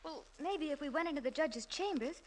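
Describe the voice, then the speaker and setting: A woman's voice: high-pitched, lively intonation, brisk. A woman playing an eager, sweet young niece in 1940s radio comedy, on a noisy old recording. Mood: hopeful.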